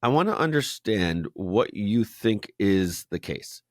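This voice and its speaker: A man hosting an interview, asking a question in a measured way and searching for his words. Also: lowish voice, very animated, no room sound, clean studio sound. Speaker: interviewer host.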